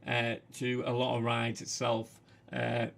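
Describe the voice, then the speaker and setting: A man with a Manchester accent hosting a casual livestream, talking haltingly with many fillers. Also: mid-range voice, completely flat delivery, vocal fry, fairly bright tone. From England. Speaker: livestream host.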